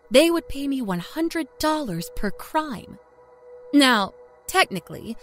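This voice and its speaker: A woman acts out a sly, murderous middle-aged small-town woman telling her own dark tale. Her voice is on the high side and swings widely in pitch. She is folksy and confiding, with a smug, taunting edge, over faint music in a horror story podcast. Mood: smug reminiscence. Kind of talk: dramatic first-person horror story narration.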